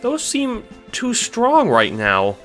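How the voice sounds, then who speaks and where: High-pitched nervous little cat sprite. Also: male voice, wildly swooping pitch, slow pace, a bit bright, soft background music, exaggerated voice. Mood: whiny, pleading. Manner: nervous, hesitant, stammering. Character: timid, anxious, helpful male cat sprite. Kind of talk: audio drama character voice.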